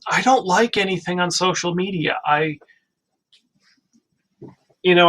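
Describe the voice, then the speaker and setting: A man's voice: a little high, a bit bright, rough recording. A man hosting a casual livestream, blunt, joking and given to swearing. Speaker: livestream host.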